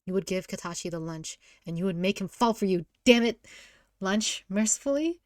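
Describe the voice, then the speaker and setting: A woman's voice: medium pitch, lively intonation, moderate pace, no room sound, studio quality. A woman informally reading a visual novel aloud as narrator, giggly and often stumbling over lines and rereading them.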